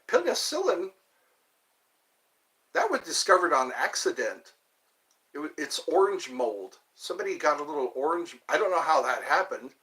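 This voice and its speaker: A man in his fifties chats with his livestream viewers, wandering from one topic to the next. He jokes at his own expense in a loose, rambling way. His pitch moves up and down freely as he talks. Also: sharp tone. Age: 50s. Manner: rambling, joking, self-deprecating. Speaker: livestream host. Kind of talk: casual chat answering viewers.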